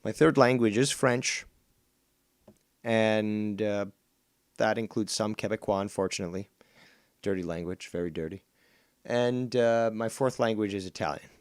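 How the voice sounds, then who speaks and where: A man's voice: lowish voice, measured pace, pauses often. A Canadian man whose first language is Spanish, hosting and arguing back at a clip in a combative, profane, sarcastic way. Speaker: streamer host. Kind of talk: heated commentary on a clip.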